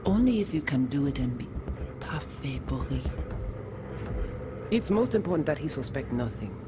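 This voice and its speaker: An actor playing a terse, secretive gang fixer in a video game, giving curt orders. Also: male voice, very animated, normal speed, lightly acted. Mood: instructing. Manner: curt, commanding, clipped non-native phrasing. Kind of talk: video game dramatic acting. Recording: noisy ambience, vehicle-like rumble.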